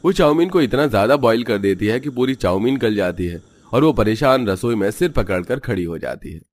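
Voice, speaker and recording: Casting: male narrator, lowish voice, scripted cartoon storytelling. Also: expressive, a little fast.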